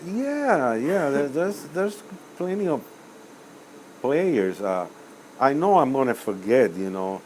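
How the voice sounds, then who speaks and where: An older man from Cuba, a musician answering interview questions in a rambling, hesitant way with many pauses and self-corrections. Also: medium pitch, big pitch swings, darkish tone, a little hiss or hum, low-quality recording. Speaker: musician guest.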